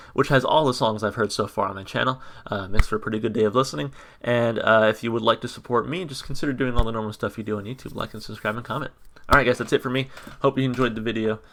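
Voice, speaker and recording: A man reviewing a song on his channel in a casual, monotone way, full of ums and restarts. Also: medium pitch, quick pace, a little hiss or hum. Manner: hesitant, many ums and restarts. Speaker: music reaction YouTuber. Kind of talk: casual reaction commentary.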